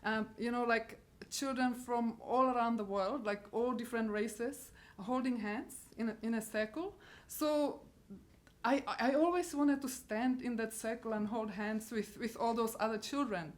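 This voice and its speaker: A middle-aged woman with a Czech accent, a missionary, giving a personal testimony to an audience and often pausing to search for words. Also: fairly high pitch. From Czech Republic. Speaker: missionary speaker.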